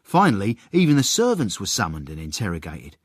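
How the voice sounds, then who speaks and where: A man's voice: fairly low pitch, wildly swooping pitch, brisk, breathy edge, dry recording, clean studio sound, full character voice. An actor playing a wry, deferential English footman and secret author, narrating and acting in a radio comedy-drama. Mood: apprehensive narration.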